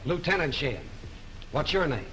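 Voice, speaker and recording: A blunt police lieutenant. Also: male voice, a little high, animated, moderate pace, fairly bright tone, a little hiss or hum, rough recording. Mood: gruff. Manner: blunt, gruff questioning. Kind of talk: 1930s film acting.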